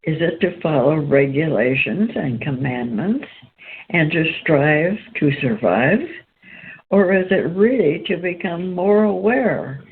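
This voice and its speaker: A woman with a creaky, gravelly voice hosts and reads spiritual writing aloud. She goes very slowly, breaking sentences into halting, deliberate pieces. The sound is narrow and phone-like, with some noise behind her. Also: deep voice, animated. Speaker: host and reader. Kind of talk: slow read-aloud of spiritual text. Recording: tinny phone-line sound, background noise.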